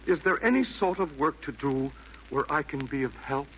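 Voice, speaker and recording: An actor in a 1940s radio play voicing a repentant Roman emperor, earnest, hesitant and theatrical, speaking in rhymed verse. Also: male voice, a little high, expressive, normal speed, highs cut off, close to an everyday voice. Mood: humble wonder. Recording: noisy vintage 1940s radio broadcast.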